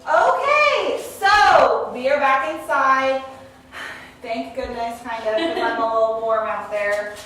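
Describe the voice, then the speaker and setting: A woman's voice: high-pitched, lively intonation, slow, a bit bright, slight background noise. A woman emceeing an event indoors, announcing a prize drawing in a bubbly, upbeat way. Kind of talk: livestream announcement. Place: indoors, large room. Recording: very echoey room, far from the mic.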